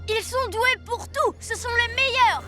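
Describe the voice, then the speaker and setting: Villainous little ninja boy for a kids' cartoon female actor; boastful, touchy, theatrical, pitched extremely high. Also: a little slow, music in the background. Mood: boastful, coaxing. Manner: indignant, boastful, grandly theatrical. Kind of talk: dubbed children's cartoon acting.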